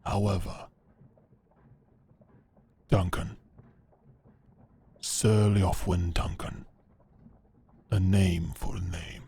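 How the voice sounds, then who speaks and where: Man acting a deep, raspy, stern medieval knight. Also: little pitch movement, dark tone, heavily acted. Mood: stern, guarded. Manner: formal, curt, measured. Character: stern, commanding male medieval knight guarding prisoners. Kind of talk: medieval roleplay audio drama acting.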